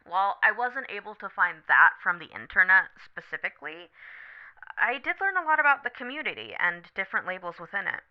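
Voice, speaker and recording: A woman playing a supportive, candid best friend on a late-night call in an ASMR roleplay, talking casually, gently and thoughtfully. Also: medium pitch, sing-song, fairly quick, smooth, muffled recording, natural voice. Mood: reassuring. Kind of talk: scripted ASMR roleplay, one side of a phone conversation.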